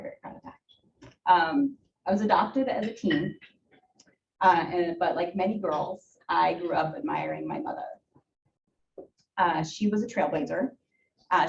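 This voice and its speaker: A woman's voice: lowish voice, a little hiss or hum. A woman in her thirties from Ukraine, a native Russian speaker, giving a personal talk to a club with many fillers and restarts. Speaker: guest speaker. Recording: echoey room from far off, dull.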